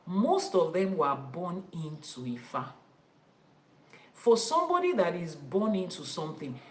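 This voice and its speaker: A Nigerian-accented woman, a native Yoruba speaker, teaches her online followers with firm emphasis and frequent repetition. Her voice sits somewhat low for a woman. The room around her adds a noticeable echo. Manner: emphatic, repeats key phrases for stress. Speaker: Ifa priestess and teacher. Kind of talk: teaching talk to an online audience.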